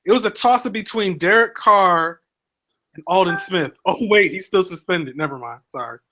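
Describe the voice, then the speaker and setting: A man's voice: high voice, moderate pace, sharp tone. A man co-hosting a sports talk show, dry and offhand, correcting himself as he goes. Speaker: podcast co-host. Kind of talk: casual sports banter.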